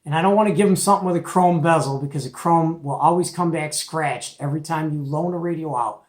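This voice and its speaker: A man's voice: on the high side, fast. A middle-aged man giving a casual, folksy and opinionated product show-and-tell as the host. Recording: echoey, roomy home recording.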